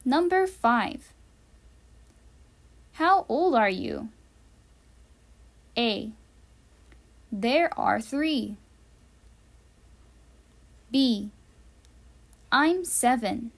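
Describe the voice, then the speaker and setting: Slow, carefully spoken woman teacher. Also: a little high, animated. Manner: measured, carefully enunciated, with pauses between answer choices. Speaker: English teacher. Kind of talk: slow, deliberate quiz reading for learners.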